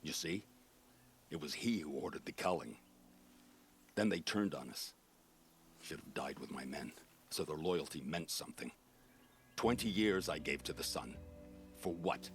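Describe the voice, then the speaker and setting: Casting: disgraced veteran captain, bitter but honorable, extremely low gravelly voice, grave game-drama delivery. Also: male voice, expressive, unhurried, pauses often, exaggerated voice. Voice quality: deep, gravelly. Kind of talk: dramatic video game acting.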